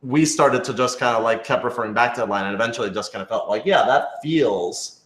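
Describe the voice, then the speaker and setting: A man answering questions in a casual discussion, thinking aloud with halting, hedged phrasing. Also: mid-range voice, a bit bright. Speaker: podcast creator and writer reading fan questions. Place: indoor room with echo. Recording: roomy, echoing room sound.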